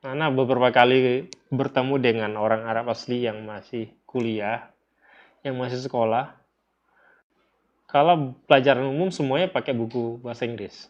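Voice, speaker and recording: A dull, lo-fi recording of a man with an Indonesian accent giving an informal religious lesson. His pitch is average for a man. He explains things step by step, often repeating a phrase or putting a question to his listeners. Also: fairly even intonation, moderate pace, pauses often. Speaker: religious teacher. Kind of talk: informal lecture explaining a text. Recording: dull, lo-fi audio.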